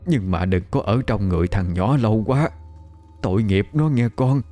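Man playing old grandmother, soft, halting, choked, tender. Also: lowish voice, faint music behind, lightly acted. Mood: shaken tender. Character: blind old grandmother, shaken but tender.

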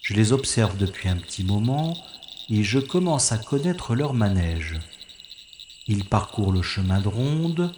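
In a reverberant space with faint noise, a man acts out a secret agent in a science-fiction audio drama. He talks himself through a covert mission slowly and deliberately. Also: on the low side, sing-song. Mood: focused, wary. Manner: deliberate, narrating his actions to himself.

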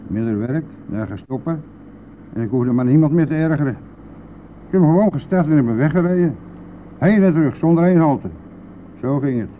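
Actor as a brash, callous bus driver, tinny recording. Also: male voice, fairly high pitch, lightly acted. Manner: blustering, scoffing, colloquial. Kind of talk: Dutch radio play acting. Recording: tinny band-limited old radio recording, noisy.

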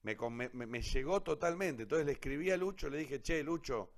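A man's voice: a little high, animated, fairly quick. A man with an Argentine accent casually hosting a cultural programme, speaking off the cuff. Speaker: host of a cultural radio theatre programme.

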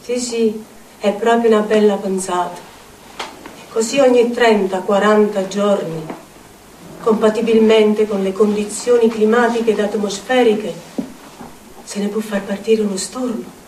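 An actress playing a tormented, eccentric Neapolitan outcast haunted by spirits, in a theatrical monologue with a Neapolitan accent and incantatory, deliberate repetitions. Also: female voice, mid-range voice, fairly even intonation, darkish tone, slight background noise, close to an everyday voice. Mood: sardonic musing. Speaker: stage actress. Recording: echoey theatre audio, distant, music behind.

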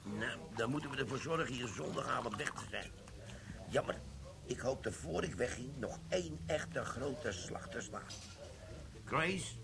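An actor playing a sly, flirtatious army sergeant in a radio play. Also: male voice, medium pitch, expressive, a little slow, vocal fry, background noise. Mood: bantering. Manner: cheeky, flirtatious, conspiratorial. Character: sly scheming middle-aged army sergeant. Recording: pub chatter behind.

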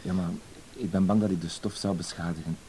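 A man's voice: sits low, fairly even intonation, a little fast, mellow, natural voice. An actor in a radio play playing a timid, apologetic man who speaks with hesitant, stammering, insistent politeness. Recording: hissy old radio play recording.

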